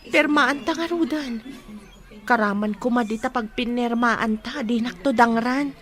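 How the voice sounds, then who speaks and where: A woman's voice: fairly high pitch, a little slow, a bit bright. An actress playing a poor young woman, wary and easily awed, in an Ilocano radio drama. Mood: wary, firm.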